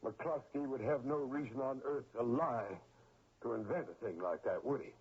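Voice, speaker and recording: A man playing a grieving, stern old father in a radio drama, with a rural American accent and husky, folksy, deliberate speech. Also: mid-range voice, a little mellow, close to an everyday voice. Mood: accusing. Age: older. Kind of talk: 1970s radio drama acting. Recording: muffled, phone-like old radio recording.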